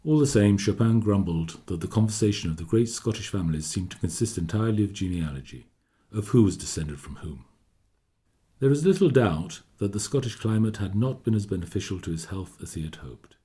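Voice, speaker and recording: A man delivering scripted documentary narration in a measured, formal manner. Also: lowish voice, lively intonation, dark tone.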